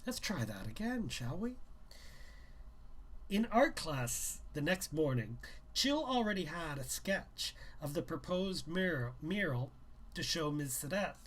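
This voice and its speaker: A man's voice: a little high, very animated, measured pace, fairly bright tone, breathy edge. Informal man reading his book aloud. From Canada. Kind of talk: informal live reading of a young adult novel.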